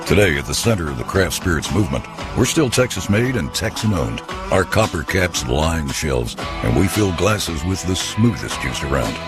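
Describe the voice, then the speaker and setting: A man's voice: low-pitched, big pitch swings, moderate pace, few pauses. A man reading scripted narration for a radio advertisement. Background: plucked guitar music.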